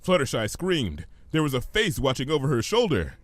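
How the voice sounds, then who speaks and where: A man reading a story aloud as an expressive narrator. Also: mid-range voice, normal speed, heavy background noise. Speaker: story reader. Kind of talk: expressive scripted narration of fan fiction.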